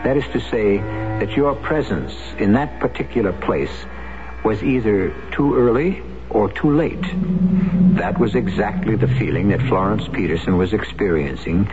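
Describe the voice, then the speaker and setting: A man hosting a radio mystery show, giving a measured, reflective scripted introduction. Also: lowish voice, over music. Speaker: radio drama host. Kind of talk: scripted narration. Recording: dull vintage radio broadcast.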